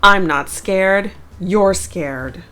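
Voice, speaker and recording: A woman's voice: medium pitch, drawn-out words, bright, forceful tone. A woman voicing an easily scared male bear in a children's storybook, fretful and full of worry. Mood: defensive. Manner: fretful, listing worries and denying fear.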